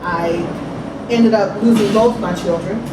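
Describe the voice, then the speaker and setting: A woman's voice: medium pitch, measured pace, very noisy. A woman in her forties telling her own story of hardship as an earnest, preaching testimony at an open mic. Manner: earnest, preaching in third person. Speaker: storyslam speaker. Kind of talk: personal testimony. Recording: echoey, far from the mic.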